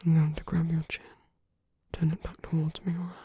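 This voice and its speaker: A woman performing an ASMR roleplay as a suave, teasing, flirtatious villain, in a breathy, husky half-whisper with slow, coaxing murmurs. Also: medium pitch, flat delivery, dark tone, dull audio. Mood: possessive, teasing. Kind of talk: ASMR roleplay, intimate scripted monologue.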